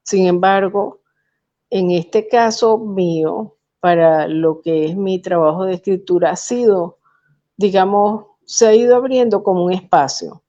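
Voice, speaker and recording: Venezuelan woman guest on a video call, thoughtful, slow and low, audio a bit muffled. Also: fairly even intonation, dark tone. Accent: Venezuelan Spanish. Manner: measured, thoughtful, with hesitant fillers. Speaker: guest poet. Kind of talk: remote interview, reflective storytelling.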